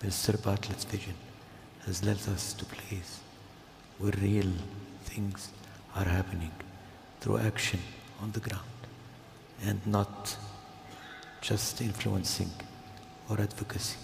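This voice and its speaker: An older man from Jordan giving a formal speech at an event, slow and measured, with pauses. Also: on the low side, flat delivery, creaky, background noise. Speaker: guest speaker. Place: large hall. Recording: echoey hall, heard from a distance.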